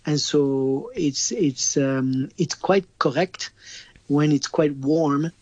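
A man's voice: fairly high pitch, slow, a little mellow, no echo, highs cut off. A man with a French accent, a wine expert chatting casually on radio, laughing often and restarting his sentences.

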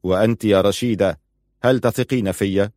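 A man's voice: lowish voice, fairly even intonation, moderate pace, a little mellow, no room sound, studio quality, close to an everyday voice. A man playing a stern, proud and suspicious husband in audiobook dialogue, firm, curt and challenging. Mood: probing.

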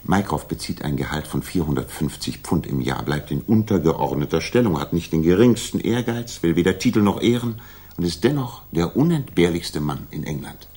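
An actor playing a restless, sharp-minded gentleman detective, theatrical and articulate, in a 1960s radio drama. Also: deep voice, expressive, normal speed, breathy edge. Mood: explaining, dry.

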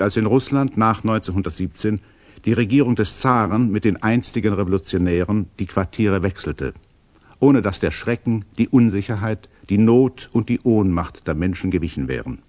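A man acting as a formal radio narrator, delivering a scripted epilogue in a measured, reflective manner. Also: on the low side, a little mellow, close to an everyday voice.

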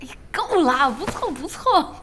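A woman's voice: high voice, very animated, a little slow, sharp tone, slight background noise, exaggerated voice. A woman voice actor playing a brash, mocking young female game boss in a Mainland Mandarin audio drama. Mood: gleeful, mocking. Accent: Mainland Chinese Mandarin. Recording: reverberant, faint music behind.

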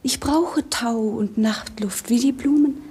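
Melancholy young princess, soft dark tone, hushed near-whisper, poetic 1950s radio drama. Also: female voice, a little high, slow, slightly rough, heavily acted. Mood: dreamy. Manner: poetic, wistful.

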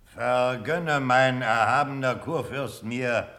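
An actor playing a loyal old cavalry colonel in a classical radio drama, earnest and formal, deferential yet stubborn. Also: male voice, mid-range voice, slow, pushed and bright. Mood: respectful. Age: older. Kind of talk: classical German stage verse radio drama.

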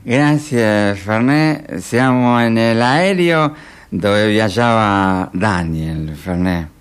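A man playing a solemn, eager roving reporter giving a halting, grave live report in radio theatre. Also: on the low side, smooth, voice pushed down. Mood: earnest.

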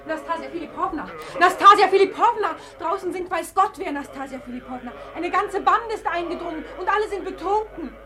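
An actress in a 1950s German radio play, playing an excitable, flustered woman of the household. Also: very high-pitched, brisk, hardly any pauses, a crowd in the background. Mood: alarmed, flustered. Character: excitable, alarmed female member of household.

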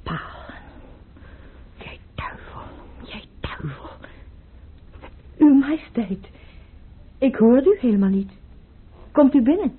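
A proud baroness in an old radio drama. Her voice is fairly high and breathy, swooping expressively with formal intensity, on a muffled, band-limited recording. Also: lively intonation, slow pace, long pauses, exaggerated voice. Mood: reassuring. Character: proud, guarded baroness at the tsar's court. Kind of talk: theatrical radio play acting.

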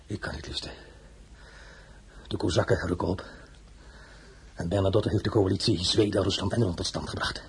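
An actor playing a proud, weary French emperor who swings from murmurs to commanding, threatening outbursts in a dramatic audio play. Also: male voice, low voice, steady tone, normal speed, pauses often, slightly rough, exaggerated voice. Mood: tense. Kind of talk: dramatic radio play acting.

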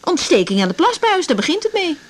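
An actress in a radio drama playing a young female doctor, bossy but caring, with a brisk, no-nonsense manner. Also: extremely high pitch, very animated. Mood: firm, teasing. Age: young adult. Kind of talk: naturalistic radio drama dialogue. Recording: slightly noisy old radio recording.

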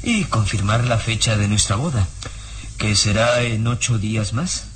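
A man's voice: on the low side, a little slow, natural voice. A man playing a possessive, impatient fiancé and plotter, insistent and probing, in an old radio serial. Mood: pressing. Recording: old radio recording, faint noise.

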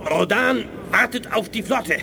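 An actor playing a desperate, betrayed alien prisoner in a radio play, agitated and protesting. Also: male voice, high voice, a little slow, gravelly, background noise, exaggerated voice. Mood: strained, pleading. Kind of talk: 1980s German radio play acting.

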